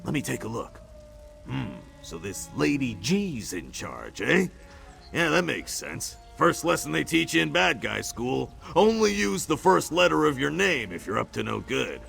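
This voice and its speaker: A freed prisoner turned adventurer in a fantasy game, with a very gravelly, bright edge to his voice. His talk swings widely up and down, dry and joking. The lines come across as gruff game dialogue. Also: mid-range voice, very animated, a little slow, soft background music, exaggerated voice. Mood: wry. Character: wry freed male prisoner, tough adventurer.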